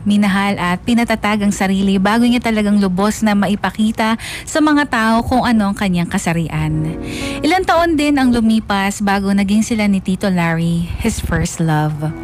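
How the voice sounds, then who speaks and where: A woman radio host with a Filipino accent, reading a first-person letter as dramatic narration. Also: medium pitch. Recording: music bed behind the voice. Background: background music.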